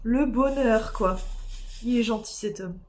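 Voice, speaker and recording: A woman with a slightly high voice, rough from a cold and a sore throat. She sounds tired and rambles loosely, with lots of fillers and false starts, as she chats with her viewers from home. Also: unhurried, a little mellow, a little hiss or hum. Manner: rambling, chatty, many fillers and restarts. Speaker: streamer doing diamond painting. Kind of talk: casual live-stream chat with viewers.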